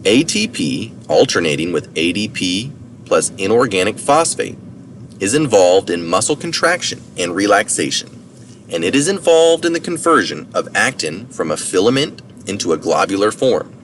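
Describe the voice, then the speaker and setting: Low-voiced man reading, distant and noisy. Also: very animated, moderate pace. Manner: informal asides for citations, occasional self-correction. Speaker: reader of articles. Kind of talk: read-aloud science article narration. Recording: distant mic, noticeable background noise.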